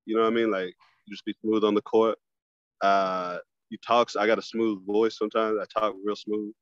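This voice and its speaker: A man, a stand-up comic, chatting casually as a podcast guest over a phone video call, loose and relaxed, often restarting his phrases. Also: lowish voice, fairly quick, dry recording, lo-fi sound. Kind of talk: casual conversation.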